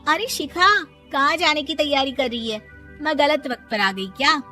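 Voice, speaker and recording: A woman's voice: high voice, moderate pace, pushed and bright, soft background music, voice pushed up. A woman voicing a proud, devout young daughter-in-law in a Hindi cartoon family drama, with a sassy, self-assured way of talking. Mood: friendly.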